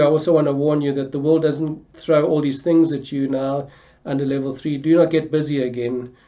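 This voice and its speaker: Older pastor talking to camera from his living room, earnest, kinda monotone, muffled lo-fi audio. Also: fairly high pitch. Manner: earnest, repeats words when restarting. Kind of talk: church announcement to camera. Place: home living room, seated in armchair. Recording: dull lo-fi home video sound.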